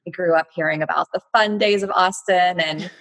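Low, husky-voiced woman telling her story. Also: fairly even intonation, fairly quick. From Texas. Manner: reflective storytelling with frequent fillers. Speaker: guest, blogger and entrepreneur. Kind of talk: conversational interview.

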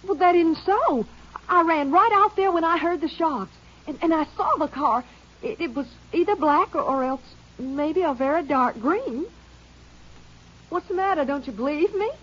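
A woman's voice: sits high, lively intonation, moderate pace, fairly bright tone. An actress playing a nervous young woman witness who stammers and hedges in a 1950s radio drama. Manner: hesitant, stammering. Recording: dull old radio broadcast.

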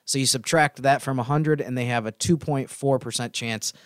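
A man's voice: mid-range voice, moderate pace, no room sound. Male podcast co-host, mid-range voice, explanatory casual sports analysis, dry studio sound.

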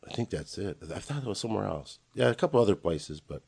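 Low-voiced middle-aged American man, dry sound. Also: big pitch swings, quick pace, creaky, darkish tone, husky, dry recording. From Los Angeles, USA. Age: late 40s. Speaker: podcast guest. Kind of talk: casual conversational storytelling.

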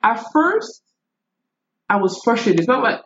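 A young woman telling stories in casual, slangy, animated talk with Nigerian turns of phrase. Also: on the high side, a little slow, highs cut off. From United States. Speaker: podcast guest. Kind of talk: casual conversational storytelling.

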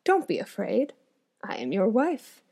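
A woman's voice: medium pitch, huge pitch swings, a little slow, gravelly, a little mellow, husky, full character voice. A woman reading as a magical young wife giving orders. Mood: commanding. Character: magical young wife commanding her house.

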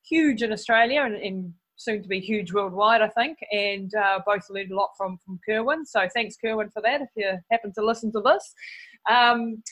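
Chatty enthusiastic podcast hostess. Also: female voice, mid-range voice, a bit bright, rough recording. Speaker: podcast host. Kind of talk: podcast interview. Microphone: video call.

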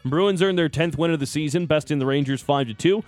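A man's voice: fairly high pitch, brisk, darkish tone, dry recording. A man co-hosting a sports show, running through hockey scores in slangy, casual banter. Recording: music playing behind the voice. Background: music bed.

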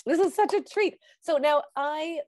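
A woman's voice: sits high, unhurried, darkish tone, dry recording, studio quality. A woman hosting an interview podcast, talking in an enthusiastic, effusive way. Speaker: podcast host. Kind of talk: conversational interview.

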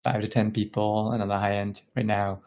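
A man's voice: fairly low pitch, flat delivery, a little fast, phone-quality sound. A man from Bangladesh speaking as an interview guest, explaining things casually and thoughtfully, often searching for his words. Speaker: tech company founder interviewed as podcast guest.

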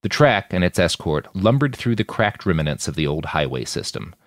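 Man, very low voice, lively intonation, close dry sound, audiobook-style storytelling. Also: normal speed, no room sound. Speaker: author narrating own fiction podcast. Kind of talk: audiobook-style fiction narration.

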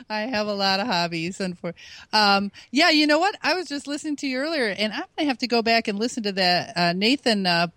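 A woman's voice: medium pitch, expressive, brisk, a bit bright, dry recording. Chatty woman guest on a radio show. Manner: chatty, talks freely. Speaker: guest, amateur radio operator. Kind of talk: casual interview chat.

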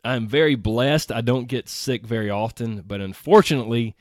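A man hosting his podcast alone, his voice hoarse after being sick, talking in an upbeat, earnest way with frequent exclamations. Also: medium pitch, moderate pace, dry recording, clean studio sound. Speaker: podcast host. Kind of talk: solo podcast talk.

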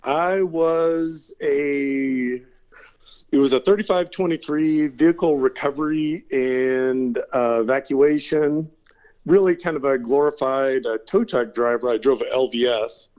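A man's voice: medium pitch, measured pace, darkish tone. Laid-back middle-aged man, lo-fi sound. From California. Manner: laid-back, laughs between stories. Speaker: interview guest. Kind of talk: casual storytelling.

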